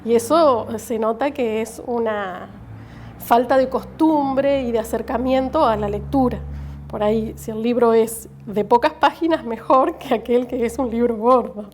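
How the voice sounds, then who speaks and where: A woman's voice: fairly high pitch, unhurried, darkish tone, a little hiss or hum. A woman with an Argentine accent, explaining her library work in an interview. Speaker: library staff interviewee, teacher.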